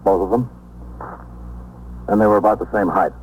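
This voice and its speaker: An American actor playing a hard, skeptical detective lieutenant questioning in a probing, curt way in a 1950s radio drama. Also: male voice, on the low side, normal speed, a little mellow, lightly acted. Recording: noisy old 1950s radio recording.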